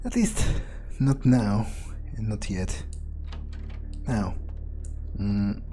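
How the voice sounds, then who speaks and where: A player narrating a video game in character, thinking aloud in a sardonic way with pauses mid-sentence. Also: medium pitch, warm tone, a little hiss or hum. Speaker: game player role-playing his character.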